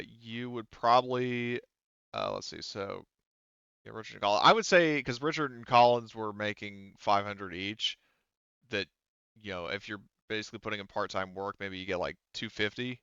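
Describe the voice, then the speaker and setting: A man acting as game master in casual, improvised talk, halting as he thinks aloud. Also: lowish voice, fairly bright tone, clear, resonant tone, no echo, muffled recording. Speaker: game master of a tabletop roleplaying game.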